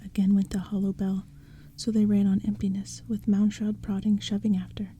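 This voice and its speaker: A woman narrating a storybook in a soft, hushed whisper. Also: medium pitch, completely flat delivery, normal speed, a little hiss or hum.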